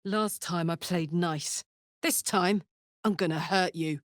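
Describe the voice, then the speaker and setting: A woman's voice: lowish voice, takes it slowly, no room sound, studio quality. An actress with a British accent playing a brash, big-hearted, battle-hardened warrior woman in a fantasy video game, blunt and earthy in manner. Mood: menacing.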